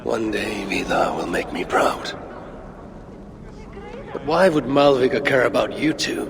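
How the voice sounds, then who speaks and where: A man playing a proud, brooding Norse god and grim father in a video game, speaking in a measured, solemn, commanding way. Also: medium pitch, lively intonation, a crowd in the background. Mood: wry, persuasive. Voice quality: gravelly. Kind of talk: video game cinematic acting. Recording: music under the voice. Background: cinematic game music.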